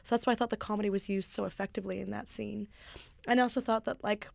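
A woman's voice: mid-range voice, fairly even intonation, quick pace, gravelly, darkish tone, dry recording. A woman earnestly arguing a point in a casual film discussion.

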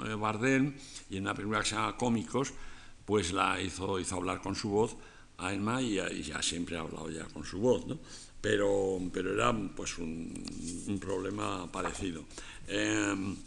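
An older man from Spain gives a rambling, conversational lecture. His voice sits low and carries a gravelly fry. He circles back on his points often, restarting phrases and tossing in rhetorical questions. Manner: digressive, frequent restarts and rhetorical tags.

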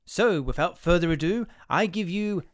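A man hosting a radio play series, reading a scripted introduction in a formal, measured way. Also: high voice, very noisy. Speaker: host.

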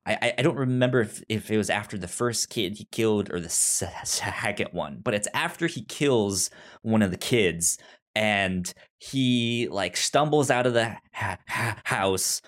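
A man co-hosting a casual film discussion, thoughtful and halting, often stuttering and repeating syllables. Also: fairly low pitch, a bit bright. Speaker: podcast co-host.

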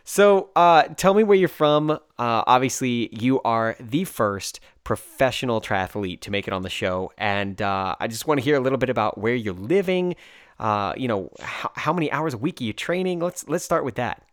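A man's voice: medium pitch, lively intonation, normal speed, clean tone, dry recording, clean studio sound. An American man hosting a podcast, chatty and enthusiastic, with fillers and digressions. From United States. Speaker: podcast host. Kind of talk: casual podcast chat.

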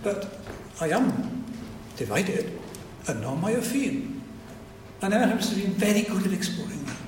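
A man's voice: high-pitched, sing-song, normal speed, slight background noise. An older man with a Welsh accent, a native Welsh speaker, giving a reflective public lecture with frequent restarts. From Wales. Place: lecture hall at a society event. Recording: echoey hall recording.

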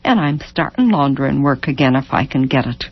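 A woman's voice: very deep voice, lively intonation, brisk, husky, lo-fi sound, voice pushed down, exaggerated voice. A woman voicing a worn-out, anguished mother in a literary story, bitter and defiant, with folksy speech and pointed questions.

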